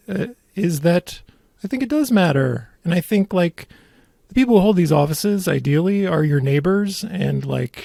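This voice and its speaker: A man hosting a podcast in casual conversation, with a halting, wry way of talking. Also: a little high, vocal fry, mellow, slightly rough, no echo, studio quality. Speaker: podcast host.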